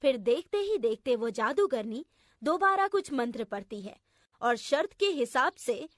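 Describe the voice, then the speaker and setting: A woman's voice: sits high, sing-song, a little slow, no room sound, clean studio sound. A woman storyteller giving scripted narration for a children's story.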